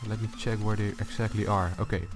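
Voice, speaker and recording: A man with a low voice giving a casual, unscripted gaming tutorial, thinking aloud as he goes. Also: steady tone, brisk. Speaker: video tutorial host. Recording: music behind the voice. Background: loud music.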